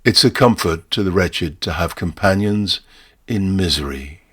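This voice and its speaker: Man performing a slow, deep, ominous barman. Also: animated, fairly bright tone, husky, full character voice. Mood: calm, ominous. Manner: slow, formal, deliberate, portentous. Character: ominous, courteous male barman with devilish solemnity. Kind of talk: short story performance.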